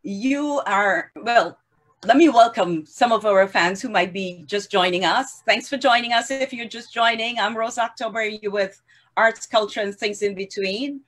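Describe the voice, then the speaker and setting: A woman hosting an online arts interview, welcoming viewers and asking measured questions over a video call. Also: mid-range voice. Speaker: show host. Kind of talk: hosted interview. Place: home room.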